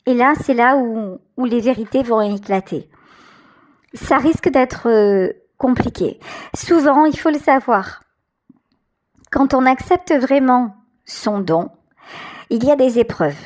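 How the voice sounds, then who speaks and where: High-pitched woman, slow tarot reading. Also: animated, darkish tone. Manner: hesitant, searching for words, pausing often. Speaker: tarot reader, channel host. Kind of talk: intuitive tarot card reading addressed to viewers.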